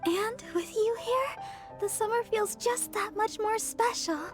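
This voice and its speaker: A woman's voice: extremely high pitch, unhurried. A woman voicing a sweet, bashful young deaconess in a video game, caring and earnest. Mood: cheerful, tender. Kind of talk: scripted video game character dialogue. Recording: music behind the voice.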